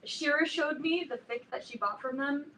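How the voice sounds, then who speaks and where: A woman in a staged reading playing an opinionated, excitable teenage fan club girl, chatty and emphatic. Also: sits high, moderate pace. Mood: scornful, animated. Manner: chatty, emphatic, peppered with like and literally. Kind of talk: staged reading of a play, naturalistic dialogue. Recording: roomy, distant mic, faint noise.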